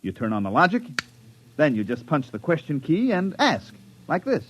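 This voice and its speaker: A man's voice: on the high side, wildly swooping pitch, normal speed, background noise. An actor playing a wry, put-upon American repairman in a mid-century radio drama, with a colloquial, folksy way of talking. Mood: salesman patter. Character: wisecracking, put-upon repairman narrating his own tale. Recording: muffled old radio recording.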